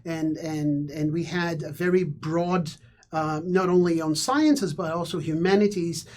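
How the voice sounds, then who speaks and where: Man, Italian-born, halting thoughtful speech. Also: on the high side, a little mellow. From Brazil. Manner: thoughtful, many repeated words and fillers. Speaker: guest academic. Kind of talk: casual conversation.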